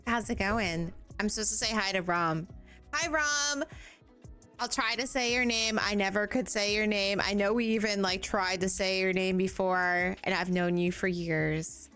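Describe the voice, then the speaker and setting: A woman live-streaming a cozy game, greeting viewers by name and rambling in a giggly, self-deprecating way. She sounds a little tired, and her voice sits in a middle range. Soft game music plays faintly behind her. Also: medium pitch, unhurried, smooth.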